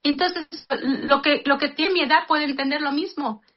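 A high-voiced woman in her fifties speaks earnestly to her listeners, mixing a reflection on life with a heartfelt prayer. The sound is dull and lo-fi, like a radio broadcast. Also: fairly even intonation, normal speed. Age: 50s. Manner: earnest, addresses listeners as brothers and sisters.

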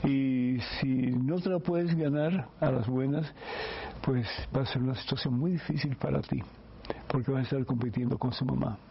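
A man's voice: medium pitch, fairly even intonation, moderate pace, creaky. A man, a priest hosting a radio program, preaching and then giving earnest, pastoral advice to a listener with many fillers. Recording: muffled radio broadcast with faint hiss.

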